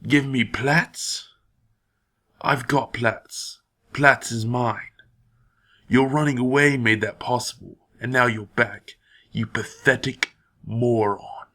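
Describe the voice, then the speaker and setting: A man's voice: medium pitch, fairly even intonation, slow pace, pushed and bright, full character voice. A man playing a cruel, domineering stepfather in an audio drama, sneering and menacing as he talks. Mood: scornful, angry. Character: cruel, domineering, hard-hearted evil stepfather, a businessman.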